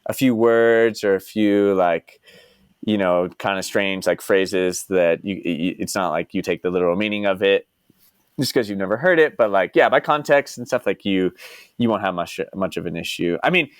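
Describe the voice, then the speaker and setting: A young man from Texas talks in a relaxed, meandering way, leaning constantly on "like" and "you know" as he works through his thoughts. His voice sits fairly low and comes across close and dry, with no room sound around it. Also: normal speed, clean tone, no room sound. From Dallas, United States. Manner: rambling, heavy use of "like" and "you know". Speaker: podcast guest. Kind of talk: casual interview chat.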